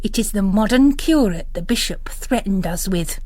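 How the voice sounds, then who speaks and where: A woman voicing a suspicious, brisk housekeeper with a tart and proper manner. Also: medium pitch, lively intonation, darkish tone, natural voice. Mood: dismayed.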